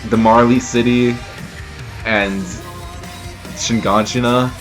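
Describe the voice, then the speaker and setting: A young man with a slightly stuffy, sniffly voice from a cold, hosting an anime reaction with rambling, excitable commentary full of fillers and restarts. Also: mid-range voice, slow pace, soft background music.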